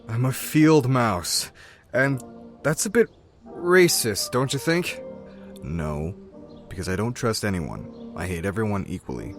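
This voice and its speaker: A man voicing a distrustful, cold male field mouse who speaks in a flat, emotionless, suspicious way, over background music in a fan comic dub. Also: fairly low pitch, a little slow.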